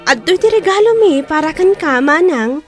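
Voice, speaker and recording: Radio drama kid sibling: tiny very high voice, sweet, proud. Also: female voice, expressive, moderate pace. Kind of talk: Ilocano radio drama acting. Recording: music bed under radio drama dialogue.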